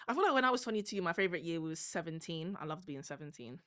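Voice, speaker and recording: Bright-voiced London woman. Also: fairly low pitch, no echo, studio quality. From South London, England. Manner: animated, slangy, quick to laugh. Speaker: podcast host. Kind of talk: casual chat.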